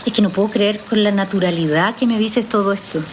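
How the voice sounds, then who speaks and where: An actress with a Chilean accent playing a skeptical, serious psychologist with a questioning manner in a naturalistic audio drama. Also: female voice, mid-range voice, a little fast, music in the background, close to an everyday voice. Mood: incredulous. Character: skeptical female trauma psychologist, probing and incredulous.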